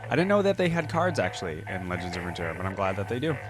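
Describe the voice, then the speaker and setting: A man's voice: low-pitched, very animated, racing. A man giving casual, musing reaction commentary as the host of a reaction video. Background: music from the watched video.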